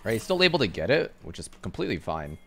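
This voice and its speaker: A man casually explaining his gameplay to viewers as he plays, relaxed and instructive. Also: on the low side, fast, vocal fry. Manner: instructive, relaxed, talks over his own play.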